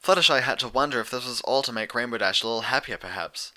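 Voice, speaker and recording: A man narrates a story in a very bright, forward voice at a medium pitch. It is scripted audiobook reading in a storyteller's style. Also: quick pace. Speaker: audiobook narrator of fan fiction.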